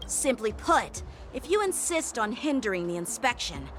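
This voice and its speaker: A woman's voice: a little high, very animated, moderate pace, fairly bright tone, soft background music. An actress voicing a strict, formal woman port inspection officer. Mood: stern. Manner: formal and insistent. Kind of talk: game voice acting.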